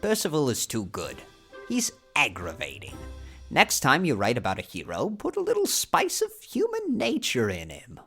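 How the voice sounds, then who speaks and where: A plainspoken older countryman, performed by a man, hands out frank advice without hurrying. His voice roams widely up and down while he weighs each thought. There's a quiet trace of music underneath. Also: medium pitch, huge pitch swings. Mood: critical. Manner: blunt, unhurried, reflective. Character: blunt older male farmer neighbor, plainspoken. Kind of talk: audiobook drama reading.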